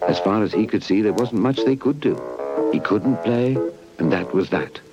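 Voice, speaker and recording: A man's voice: lowish voice, lively intonation, moderate pace. A British man narrating a scripted children's puppet story, on a muffled old videotape. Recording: muffled old VHS tape. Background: music.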